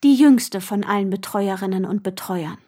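A woman's voice: medium pitch, normal speed, dark tone, no room sound, clean studio sound, lightly acted. An actress playing a woman narrator in a literary radio play, matter-of-fact in delivery.

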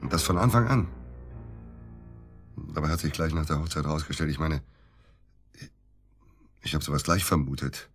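A deep, husky middle-aged man, a widower in a radio play. He is bitter and suspicious, talking in agitated, halting bursts and hammering phrases home by repetition. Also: soft background music. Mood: scornful, suspicious. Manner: agitated, halting, repeats himself for emphasis. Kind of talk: naturalistic German radio drama acting.

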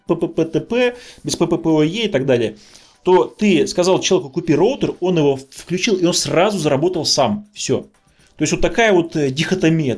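Higher-pitched man explaining tech, enthusiastic. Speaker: podcast speaker on networking. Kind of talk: explanatory tech podcast talk.

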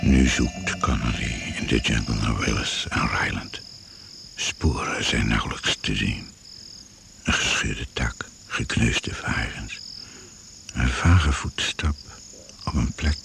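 An actor as the grave narrator of a radio mystery, reading in a measured, poetic, suspenseful manner. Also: male voice, rumbling low, fairly bright tone, exaggerated voice. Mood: brooding. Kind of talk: scripted radio play narration. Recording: noisy old radio play recording.

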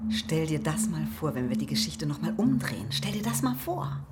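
An actress playing a forthright, bluntly challenging police investigator in a radio drama, direct and pointed. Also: female voice, low-pitched, sing-song, normal speed, heavy background noise. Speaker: actor in a radio crime drama. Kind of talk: naturalistic radio drama acting.

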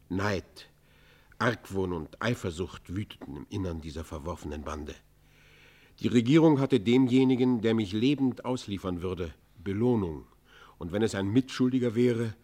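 A low-pitched bandit leader laying bare his conscience. He is eloquent and anguished, with a proud streak under the remorse. The delivery is solemn, formal and declaimed in the manner of classic radio drama. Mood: tormented. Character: repentant robber chieftain confessing, anguished, proud, eloquent. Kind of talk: classic German radio play acting, literary monologue.

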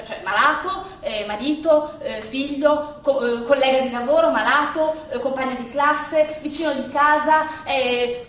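Distant and swimming in echo, a high-voiced actress playing an anxious woman restorer delivers a stage monologue. She stammers, repeats words and nervously corrects herself as she addresses her listeners. A faint noise sits in the recording. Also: a bit bright. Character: flustered, anxious art restorer, mentally ill man's daughter. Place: performance space with an audience.

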